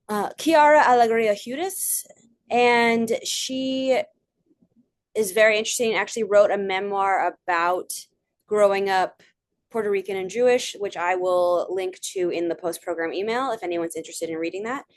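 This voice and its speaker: A young adult woman giving a casual online presentation, chatty, with frequent fillers and asides. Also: mid-range voice. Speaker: presenter of a theater talk.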